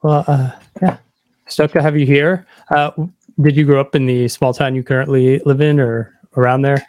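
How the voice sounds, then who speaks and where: A man's voice: mid-range voice, steady tone, normal speed, darkish tone, dry recording. A man hosting a podcast, asking questions in an easygoing way and affirming often. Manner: easygoing, affirming with frequent "yeah"s. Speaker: podcast host. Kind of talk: casual interview chat.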